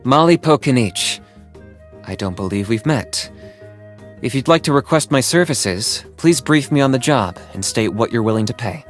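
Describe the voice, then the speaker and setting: A man playing a stoic, businesslike young mercenary in a video game, speaking in a dry, matter-of-fact deadpan. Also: mid-range voice, moderate pace, faint music behind, lightly acted. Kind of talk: video game character voice lines.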